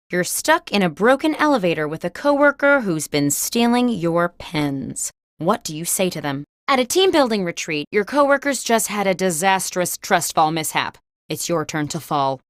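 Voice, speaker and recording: Chipper corporate game host, animated pitch, crisp questioning delivery, scripted prompts, very dry close sound. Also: female voice, dry recording.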